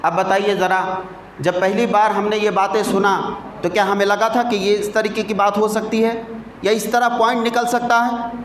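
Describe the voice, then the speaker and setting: A male cleric delivers a sermon in a strikingly high-pitched voice. He teaches through storytelling and rhetorical questions, repeating key phrases to drive them home. The sound is echoey, with faint noise behind him. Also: flat delivery, moderate pace.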